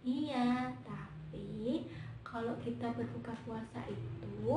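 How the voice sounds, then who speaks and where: A young woman, teaching children in an animated, teacherly storytelling style in an echoey room. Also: a little high, slow. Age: young adult. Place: indoor room, plain wall, medium camera distance. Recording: echoey room, distant mic, faint noise.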